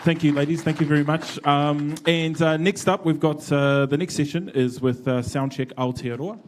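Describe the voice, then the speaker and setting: A man with a New Zealand accent moderating a live panel discussion, relaxed and chatty with many fillers. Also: a little high, normal speed, some chatter behind, slight background noise. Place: conference venue.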